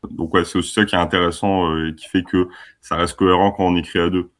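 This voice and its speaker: A man's voice: low voice, level tone, fast. A man in his twenties from France, a band member chatting casually and laughing easily in an interview. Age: 20s. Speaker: band musician.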